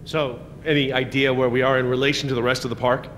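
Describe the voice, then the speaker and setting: A man's voice: mid-range voice, flat delivery, fast, close to an everyday voice. An actor playing a protective middle-aged father in a video game, reassuring and matter-of-fact. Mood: firm, practical. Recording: long hall reverb, faint music.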